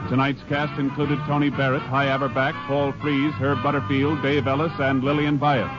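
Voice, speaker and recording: A man's voice: mid-range voice, little pitch movement, normal speed. A man announcing a 1950s radio show from a script in a formal, dramatic, declamatory manner. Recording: muffled old radio recording, loud music behind.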